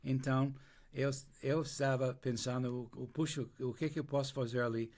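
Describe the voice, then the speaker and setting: An older man whose first language is English, speaking Portuguese with an American accent in an interview, telling his story slowly with many restarts and pauses while he searches for words. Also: medium pitch, level tone, a little mellow. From United States. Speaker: interview guest.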